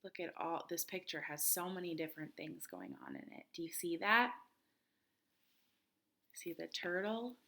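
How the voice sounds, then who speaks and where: A woman reading a children's picture book aloud expressively, with dramatic pauses, chatty asides and questions for her young listeners. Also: lowish voice, sing-song, gravelly, sharp tone. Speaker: children's read-aloud host.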